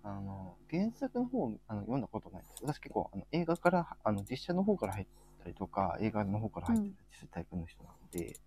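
A man's voice: lowish voice, huge pitch swings, fast talker, warm tone, a little hiss or hum. A man co-hosting a casual livestream, introducing topics in a polite, hesitant way. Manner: polite, hesitant with frequent fillers. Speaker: co-host of a group chat livestream.

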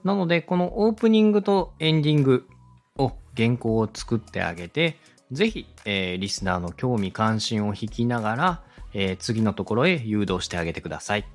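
A man's voice: medium pitch, big pitch swings, fairly quick, faint music behind. A man giving a polite, step-by-step explanatory talk as a podcast host and instructor. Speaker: podcast host and radio director teaching podcast making.

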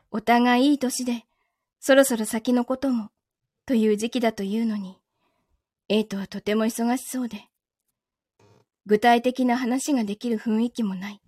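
A woman acting in a Japanese voice drama as a calm, understanding, quietly lonely girlfriend, speaking gently with a Kansai accent. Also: fairly high pitch, slightly rough, no echo, studio quality. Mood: lonely, pensive. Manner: composed, gentle, reassuring.

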